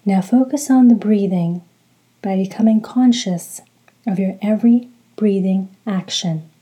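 Very mellow, breathy woman guiding meditation. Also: medium pitch. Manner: calm, deliberate, soothing delivery in short phrases. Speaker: guided meditation host. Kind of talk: slow guided meditation with religious recitation.

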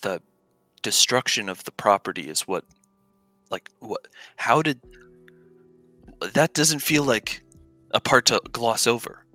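A man with a bright, forceful voice banters casually as a player in a tabletop game. He sounds joking and incredulous, with faint music underneath. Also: mid-range voice, expressive, slow pace, pauses often.

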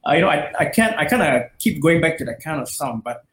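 A man's voice: on the high side, lively intonation, fast, fairly bright tone. Rambling Malaysian-raised metal musician man. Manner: rambling, many fillers and restarts. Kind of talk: casual interview chat. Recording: echoey room, faint noise.

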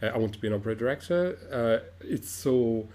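A man's voice: medium pitch, expressive, brisk, darkish tone. A middle-aged man from Morocco, an opera director, answers interview questions thoughtfully, with frequent restarts and fillers.

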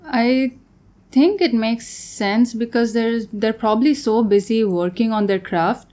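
A woman's voice: on the high side, unhurried, mellow. A young woman who grew up in Tanzania, a guest artist talking casually in an interview, often laughing and trailing off mid-sentence.

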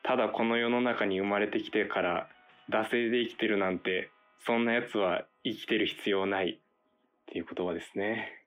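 A man's voice: lowish voice, steady tone, normal speed, fairly bright tone, soft background music. A man who is a guest on a radio show, reciting quotations.

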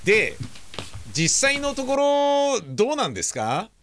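A man playing a nosy, courteous middle-aged dad in a radio drama. His voice is bright and sharp, swinging widely in pitch as he probes. There is faint noise behind him. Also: wildly swooping pitch, exaggerated voice. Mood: prying. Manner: probing, courteous, drawn-out questioning. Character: nosy, polite middle-aged father prying into romance. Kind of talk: audio drama acting.

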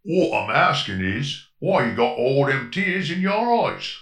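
Give me a slangy Cockney robot crewmate, played by a man, bright, swinging pitch. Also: sing-song, a little slow, echoey room, soft background music. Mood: gruff cheery. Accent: put-on Cockney. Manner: slangy, rough-edged, folksy. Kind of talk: comic audio drama acting.

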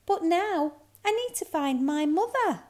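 A small boy polar bear cub from a children's story, acted out by a woman narrator. The voice is pitched well up, childlike and earnest. It has a plaintive, open-hearted manner. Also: expressive, measured pace, darkish tone.

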